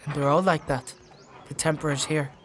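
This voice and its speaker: A performer playing an earnest, naive young squire boy in a dark fantasy audio drama, plain-spoken and questioning. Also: mid-range voice, fairly even intonation, normal speed, a crowd in the background, lightly acted.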